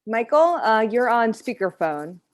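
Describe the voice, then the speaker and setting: A woman's voice: fairly high pitch, very animated, measured pace, no echo. A woman playing a weary, reluctant girlfriend, curt and exasperated, in a screenplay table read. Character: Lucia, weary, reluctant girlfriend putting off her boyfriend.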